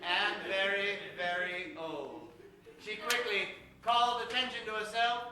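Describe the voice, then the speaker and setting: A man's voice: sits high, steady tone, measured pace, a crowd in the background. A man narrating an improvised old-time radio show as its announcer, theatrical and building suspense. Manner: theatrical, suspense-building, repeats words for effect. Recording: echoey stage from far off, music behind.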